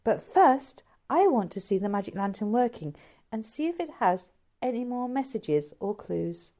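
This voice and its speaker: An eager boy from a storybook mystery , acted out by a woman narrator. He talks earnestly with grown-up airs, and his pitch lifts and swings widely as he takes charge. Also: sing-song, moderate pace, mellow.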